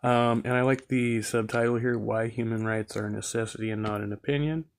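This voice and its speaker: A man's voice: medium pitch, brisk. A man with a scratchy throat hosting a livestream, commenting casually and thinking aloud as he reviews a video. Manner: relaxed, thinks aloud with fillers and throat clearing.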